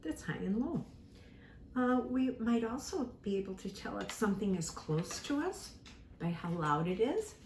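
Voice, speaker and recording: A woman giving a simple lesson to young children, speaking deliberately, repeating key words and acting out examples. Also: medium pitch. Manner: animated, acts out examples with props. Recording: echoey room with faint background noise.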